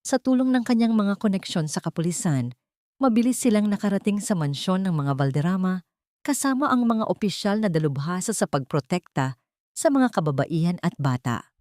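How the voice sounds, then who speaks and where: A woman's voice: lowish voice, animated, normal speed, a little mellow, dry recording, clean studio sound. A woman reading scripted dramatic story narration in a solemn, suspenseful manner. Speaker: narrator of audio drama stories.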